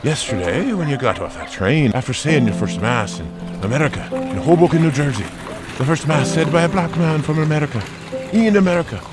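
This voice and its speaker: A man's voice: a little high, expressive, fairly quick, loud music behind the voice, lightly acted. An actor playing a kindly, proud older parish priest in an audio drama, with a folksy, hesitating, fatherly way of talking. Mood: proud, earnest.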